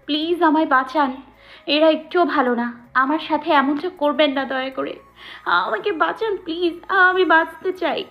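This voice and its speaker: A woman's voice: sits high, measured pace, muffled recording, faint music behind. A woman playing a terrified, helpless young woman in an audio story, pleading desperately for her life.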